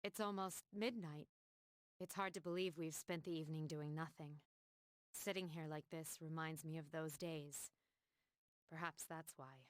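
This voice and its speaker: A woman playing a composed, mature young team leader in a video game, speaking formally and calmly. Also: deep voice, dry recording, lightly acted. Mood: reflective. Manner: formal, calm, deliberate. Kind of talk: scripted video game cutscene acting.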